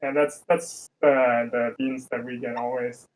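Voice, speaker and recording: A man's voice: medium pitch, level tone, a little slow, rough recording. A man in a casual online chat, curious and asking questions. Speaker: guest.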